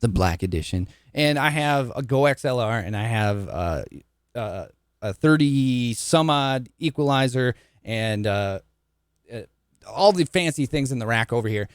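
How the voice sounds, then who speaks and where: A man's voice: unhurried, dry recording. A man hosting a casual podcast interview from his upstairs home studio, friendly and chatty. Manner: friendly, chatty, lively pitch swings. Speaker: podcast host. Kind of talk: casual interview chat over video call. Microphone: studio dynamic mic.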